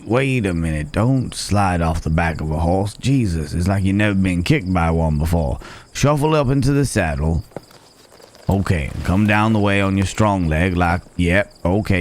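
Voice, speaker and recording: Casting: male actor as gruff, caring cowboy stranger for Western ASMR roleplay; deep voice, slow folksy ramble, night crickets behind. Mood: exasperated, concerned. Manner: folksy, rambling, murmured asides to horse and companion. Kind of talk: ASMR Wild West roleplay.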